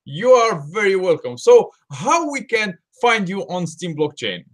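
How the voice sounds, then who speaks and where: A man's voice: sits high, very animated, unhurried. Man asking simple interview questions.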